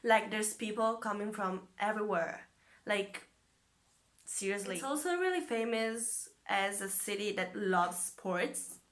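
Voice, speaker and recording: An eighteen-year-old woman from Italy speaks English with an Italian accent in a bright, forward tone. She chats casually, with plenty of fillers along the way. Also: mid-range voice, a little slow. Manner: chatty, with frequent fillers. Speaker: former exchange student. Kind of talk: casual vlog chat.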